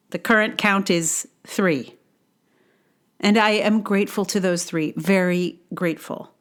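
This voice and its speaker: Slow-paced woman reading a personal essay. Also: mid-range voice, a bit bright. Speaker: podcast host and writer. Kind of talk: reading a personal essay aloud, with casual asides.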